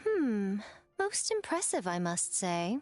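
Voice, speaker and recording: A woman's voice: medium pitch, big pitch swings, slow, a little mellow, dry recording, soft background music. A woman playing a sly, elegant, self-assured shrine maiden with an arch, teasing manner in an anime-style video game. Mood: amused, teasing. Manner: arch, playful, teasing, theatrical.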